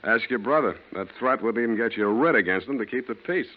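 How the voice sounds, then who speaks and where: An actor playing a street-wise, unimpressed private detective, narrating and talking in a wry, slangy, deadpan 1940s radio style. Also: male voice, mid-range voice, fast, lightly acted. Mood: dry, unimpressed. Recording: muffled old 1940s radio recording with music.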